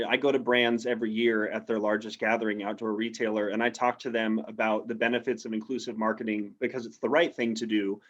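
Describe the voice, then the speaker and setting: A man from the United States, a guest in a casual interview, speaking in an upbeat, persuasive way over a video call. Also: mid-range voice. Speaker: interview guest, outdoor adventurer. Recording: slightly dull, lo-fi audio.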